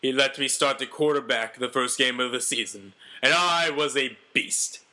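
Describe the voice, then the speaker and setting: Man playing a brash, bright-voiced boastful quarterback. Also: mid-range voice, moderate pace. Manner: melodramatic, boastful. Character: brash, self-important ex-convict beast quarterback telling his story.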